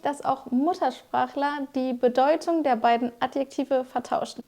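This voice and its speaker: High-voiced woman teaching vocabulary. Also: dark tone. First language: German. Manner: clear, explanatory, addresses viewers directly. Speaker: German teacher. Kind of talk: scripted vocabulary lesson.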